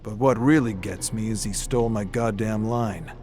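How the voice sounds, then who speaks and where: A man's voice: lowish voice, normal speed, slight background noise, close to an everyday voice. A man playing a grizzled, cynical older war veteran, narrating a dramatic story in folksy tough-guy slang. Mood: gruff, irritated. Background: loud music.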